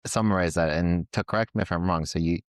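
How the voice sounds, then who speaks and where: A man's voice: low voice, fast, no room sound, clean studio sound. A man hosting an interview, giving brief affirming replies and summing up what his guest said. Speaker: podcast host. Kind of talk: casual interview chat.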